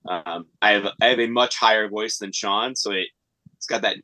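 Easygoing middle-aged guitarist, low and bright, dry close sound. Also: male voice, normal speed, no room sound, poor audio. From Pennsylvania. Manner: easygoing, self-deprecating. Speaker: band guitarist. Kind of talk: casual interview chat.

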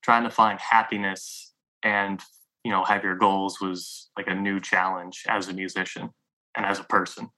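A man's voice: low-pitched, flat delivery, moderate pace, bright, forceful tone, low-quality recording. A man in his late thirties, a musician guest on a podcast, talking reflectively in a rambling way with lots of fillers. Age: 30s. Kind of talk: casual conversation.

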